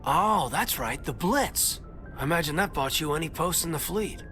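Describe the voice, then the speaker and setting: Male marine lieutenant, bright tone, varied intonation, earnest, respectful, game dialogue, music behind. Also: on the high side, animated, moderate pace. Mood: thoughtful. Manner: sincere, respectful. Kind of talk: dramatic video game dialogue.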